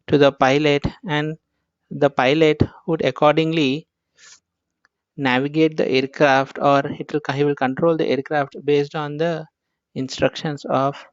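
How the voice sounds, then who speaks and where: A man's voice: fairly high pitch, level tone, unhurried, no echo, muffled recording. A man with an Indian accent gives an informal tutorial as an instructor, explaining things step by step and often repeating himself.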